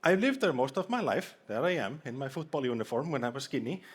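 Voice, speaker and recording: A man's voice: medium pitch, lively intonation, fast, slightly rough. A man from Iceland with an Icelandic accent, giving a playful, jokey conference talk and bantering with a live audience. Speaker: conference speaker. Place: conference hall stage.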